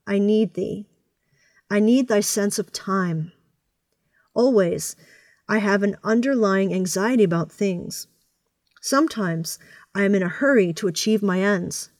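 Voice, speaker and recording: A woman speaks as a devotional podcast host in an earnest, reflective way. Her phrasing is deliberate and her voice sits in a mid-range pitch. The sound is dry, with little room around it. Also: a little mellow, no echo. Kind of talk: reflective spoken devotional with read prayer.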